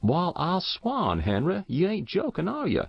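A man's voice: sits high, huge pitch swings, a little slow, creaky, husky, dry recording, muffled recording, voice pushed up, full character voice. A man voicing a solid, hearty country neighbor with drawling, emphatic rural American speech in an audiobook. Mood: amazed. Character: solid, hearty, astonished middle-sized farmer neighbor. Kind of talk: audiobook character voice.

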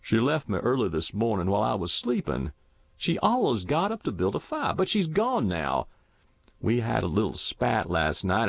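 A man's voice: on the low side, creaky, breathy edge, no room sound, heavily acted. A man voicing a thin, confused old farmer, eager and lost, with old rural speech. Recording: dull muffled dry recording.

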